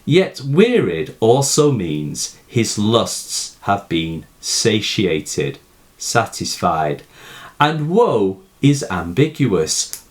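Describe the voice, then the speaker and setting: A man giving a scripted, explanatory poetry lesson in a measured, formal manner. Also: fairly low pitch. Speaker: poetry teacher. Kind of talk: scripted explanatory narration of a poem analysis.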